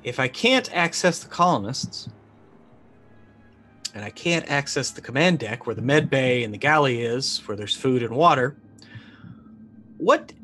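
A man in his forties improvising casual narration as a tabletop game player, halting as he thinks aloud. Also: mid-range voice, a bit bright. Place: small indoor room, remote stream.

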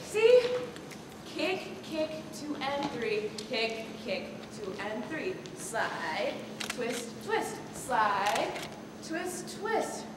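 Casting: young woman playing bossy, exasperated dance-rehearsal leader, stage comedy, very slow and swooping, heard from far off in a noisy auditorium. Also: fairly high pitch, sing-song, heavy reverb, big-room sound, heavily acted. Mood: brisk, instructing. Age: young adult. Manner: bossy, theatrical, emphatic counting and scolding. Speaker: student actor in a school one-act play. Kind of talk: stage comedy acting, projected. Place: large theatre stage, indoors. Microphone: distant audience camera.

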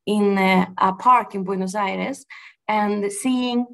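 A middle-aged woman from Argentina with a Spanish accent, a gardener speaking thoughtfully to an audience, pausing and restarting often. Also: mid-range voice, fairly even intonation, gravelly. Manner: thoughtful, halting with frequent restarts and fillers. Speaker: gardener and project manager giving a talk. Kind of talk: personal talk to an audience.

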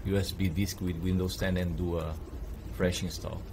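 A man's voice: low-pitched, flat delivery, normal speed, background noise. A man hosting a tech channel, walking viewers through a computer reset in a plain, hesitant way with fillers. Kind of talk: casual how-to tutorial.

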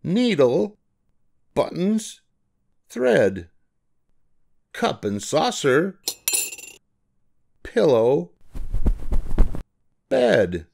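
An older man narrating a children's storybook in a deliberate, expressive read-aloud. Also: a little high, gravelly.

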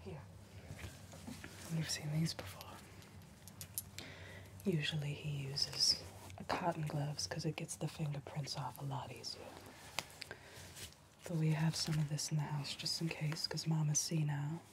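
A young woman playing a deadpan backwoods helper in a soft-spoken ASMR crime roleplay, halting and often whispering. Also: sits low. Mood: soothing, hesitant. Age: young adult. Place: dim indoor room, seen at a bar counter. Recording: noticeable background noise, room ambience.

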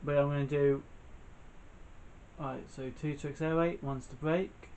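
A man's voice: fairly high pitch, fairly even intonation, moderate pace, darkish tone, a little hiss or hum. A young man giving casual, off-the-cuff commentary as he plays a video game, often muttering to himself. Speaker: gamer, channel host. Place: indoors, in front of a TV screen. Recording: game music behind the voice. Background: video game music.

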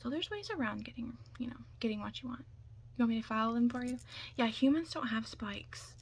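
Soft-spoken woman, half whispering. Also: a little high, expressive, brisk. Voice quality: soft, breathy, often dropping into whisper. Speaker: ASMR roleplayer playing a makeup artist. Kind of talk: ASMR soft-spoken roleplay. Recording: clear background noise.

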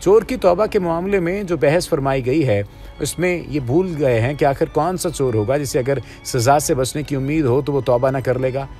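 A man reading scripted religious narration in a measured, formal, preaching manner. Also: mid-range voice, dark tone, faint music behind. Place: voice-over over stock footage with music.

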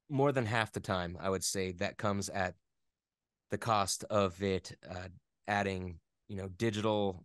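A man in a casual interview, explaining things in a halting, conversational way as a guest expert. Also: on the low side, fairly bright tone, clear, resonant tone, no room sound, studio quality. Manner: halting, filler-heavy explaining with playful sound imitations. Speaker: interview guest, game audio editor and voice actor.

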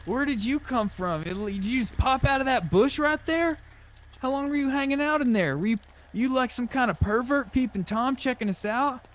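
A man's voice: extremely high pitch, expressive, moderate pace. A man playing an online roleplay game, chatting casually with a bantering, mock-tough manner and some swearing.

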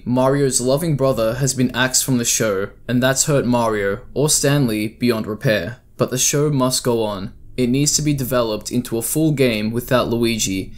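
A man reading scripted explanatory narration for a video essay, as its narrator. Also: medium pitch.

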